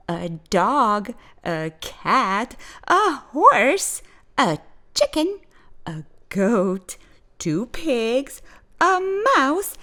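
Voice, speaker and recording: Slow, lively woman reading to children. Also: fairly high pitch. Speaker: children's storytime host and reader. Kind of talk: expressive picture book read-aloud.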